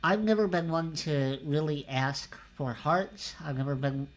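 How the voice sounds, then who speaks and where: A man hosting a casual livestream interview show, telling stories in a relaxed, conversational way. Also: fairly high pitch, measured pace. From California.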